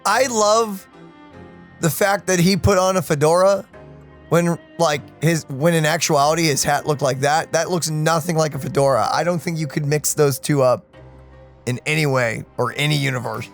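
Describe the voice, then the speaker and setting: A man with a fairly high voice gives casual let's play commentary. Faint game music plays behind him. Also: moderate pace.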